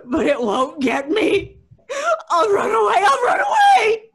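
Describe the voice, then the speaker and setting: A woman's voice: very high-pitched, huge pitch swings, a little slow, fairly bright tone, shouting, exaggerated voice. A woman playing a hysterical, superstitious young woman in mortal terror, wailing and stammering in old-time radio horror style. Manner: wailing, stammering, repeats herself frantically.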